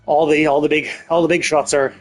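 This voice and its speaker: Rambling, higher-pitched man streaming a game. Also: flat delivery, a little fast. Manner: rambling, thinking aloud, casually profane. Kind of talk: casual live stream commentary on a story game. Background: faint game music.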